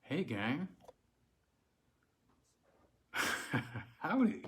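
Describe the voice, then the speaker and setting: A man reading a classic weird tale aloud in a measured, expressive storytelling manner. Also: a little high, a little hiss or hum. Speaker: reader of classic weird tales.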